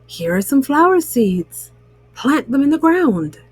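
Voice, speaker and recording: A woman voicing a calm, sensible male frog in a children's storybook, giving advice in a patient, reassuring way. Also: high voice, unhurried. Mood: helpful.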